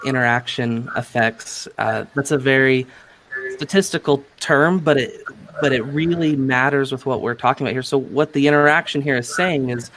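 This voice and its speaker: Man, podcast host, medium-pitched voice, conversational explaining, faint background noise. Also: steady tone, normal speed, a bit bright, poor audio. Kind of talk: conversational interview.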